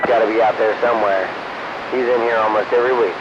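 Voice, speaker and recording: A man's voice: on the low side, fairly even intonation, quick pace. A man talking casually as a CB operator over a radio transmission. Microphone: CB radio microphone. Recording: muffled CB transmission with loud noise. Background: loud train noise.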